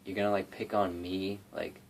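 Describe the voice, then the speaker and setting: Young guy talking low and rambly in his bedroom, lots of um and like, phone mic, bit of hiss. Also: level tone, normal speed, creaky, poor audio. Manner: rambling, many fillers and restarts. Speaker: YouTube cover singer and guitarist. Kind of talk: casual rambling vlog talk.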